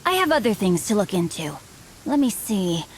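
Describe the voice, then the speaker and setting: A man's voice: sits high, very animated, normal speed, a little hiss or hum, low-quality recording. A refined young swordsman. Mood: calm. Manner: polite, formal and composed.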